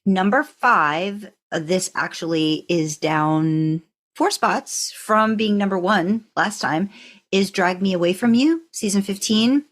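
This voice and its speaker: A woman's voice: mid-range voice, slow. A woman co-hosting a podcast, chatting casually through a ranked countdown and repeating words for emphasis. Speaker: podcast co-host. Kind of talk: casual fan podcast chat, ranking countdown.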